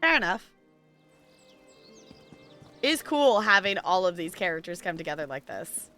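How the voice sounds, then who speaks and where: A woman's voice: lowish voice, very animated, normal speed, pushed and bright, soft background music. A woman game streamer giving chatty, casual playthrough commentary and reading dialogue replies aloud.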